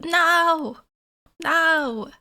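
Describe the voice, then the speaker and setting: Hoarse, gravelly young woman streamer. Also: extremely high pitch, extremely slow, bright, forceful tone. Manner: playful and giggly, teasing herself. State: hoarse, stuffy from a cold. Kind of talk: casual live-stream chat.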